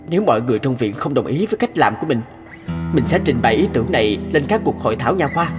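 A man playing an earnest, excitable doctor and inventor in a cartoon, with an eager delivery. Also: medium pitch, fairly quick, loud music behind the voice, lightly acted. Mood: determined. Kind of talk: cartoon dub acting.

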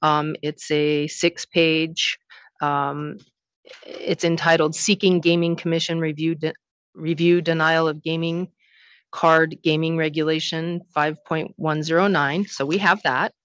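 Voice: medium pitch, flat delivery, a little slow, fairly bright tone, no room sound. Hearing chair explaining procedure, reassuring with lots of ums, dry muffled mic. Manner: explanatory, reassuring, filler-heavy. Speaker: commission chair addressing an applicant.

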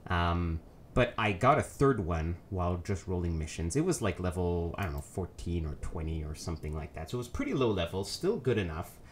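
Man with a cold, nasal, low voice, hosting a casual let's play with loose, rambling delivery over a faint noise floor. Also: big pitch swings, a little fast. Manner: rambling, many ums and self-corrections. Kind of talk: casual gameplay commentary.

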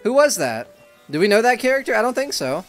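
A man's voice: sits high, very animated, brisk. A man, doing casual, slangy reaction commentary and often repeating himself. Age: 20s. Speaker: reaction video host. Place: small room indoors. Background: faint trailer music.

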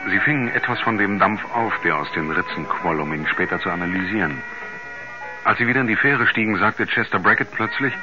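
A sober storyteller, played by a man with a low voice, reporting mysterious events in 1970s radio drama. The recording is slightly dull, with music behind. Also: fairly quick, natural voice. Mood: measured. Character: sober male narrator, friend of an astronaut, reporting mysterious events. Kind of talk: scripted radio play narration.

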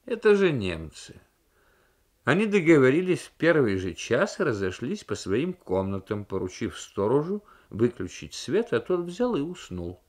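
A man's voice: mid-range voice, big pitch swings, moderate pace. A man voicing a sceptical, melancholy diplomat in a radio novel reading, understated and drily mocking.

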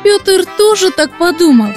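A woman voicing a gentle little girl puppet who hosts and tells Bible stories to children in a kindly, expressive way. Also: very high voice, measured pace, over music. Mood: storytelling. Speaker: host and storyteller.

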